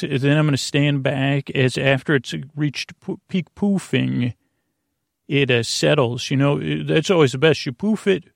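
A man hosting a bedtime podcast in a creaky, lulling voice, meandering and halting through tangents and self-interruptions. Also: medium pitch, no echo, studio quality. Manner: soothing, lulling. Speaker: podcast host. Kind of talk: rambling bedtime monologue to help listeners sleep.

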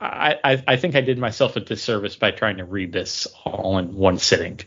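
A man co-hosting a podcast, casually giving a review as animated anecdote. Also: fairly low pitch, moderate pace, lo-fi sound. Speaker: podcast co-host reviewing comics. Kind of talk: casual talk, book review.

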